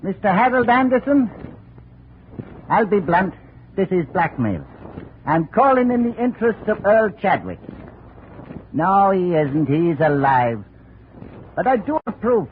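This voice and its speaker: A shady, blunt fixer from a 1950 radio play. His high voice swings widely as he bargains in clipped, streetwise phrases. The old recording is thin and hissy. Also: sing-song, measured pace, long pauses, narrow, tinny audio. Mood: blunt, pressuring. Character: shady licensed private operator, wheedling and blunt on the phone. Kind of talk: 1950s radio drama acting.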